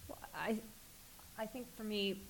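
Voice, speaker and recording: A woman's voice: medium pitch, measured pace, vocal fry. A woman whose first language is French, thinking aloud on a panel, with a faint hiss on the recording. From French Canadian and Vietnamese background. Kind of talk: panel discussion.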